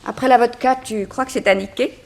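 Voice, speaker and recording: Worldly female reporter sweetheart, vintage radio drama: highish voice, playful, breezy, vivacious. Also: steady tone, a little fast, vocal fry, a little mellow. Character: vivacious, worldly young woman journalist, detective's sweetheart. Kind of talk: 1960s French radio drama acting.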